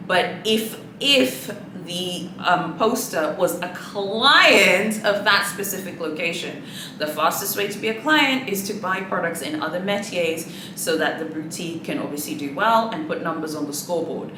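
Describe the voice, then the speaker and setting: A woman's voice: lowish voice, expressive, normal speed. A woman whose first language is Swahili, casually chatting to her viewers in a sassy, rambling manner with many asides. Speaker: luxury handbag vlogger. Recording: echoey room with background noise.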